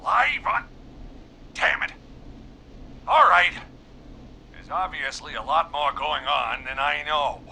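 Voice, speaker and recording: A brash, self-important caller in a radio-station video game. His voice is sharp and forceful, on the high side, and delivered at an unhurried pace over faint noise. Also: lively intonation, vocal fry, full character voice. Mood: agitated, insistent.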